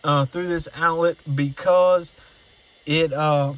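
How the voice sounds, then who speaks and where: A man with a somewhat higher-pitched voice presents a casual hands-on demonstration. He explains each step in a practical, plainspoken way, like a hobbyist showing his own setup. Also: fairly even intonation, takes it slowly, a bit bright, clear, resonant tone. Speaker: radio hobbyist presenting an install walkthrough.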